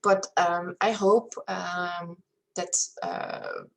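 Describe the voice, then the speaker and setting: A woman from Belgium with a Flemish accent, whose first language is Flemish, reading a spiritual paper aloud in a careful, steady way. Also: on the low side, drawn-out words, creaky, slight background noise. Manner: careful, steady reading with hesitant fillers when speaking freely. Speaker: reader of a paper. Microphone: screen-share recording.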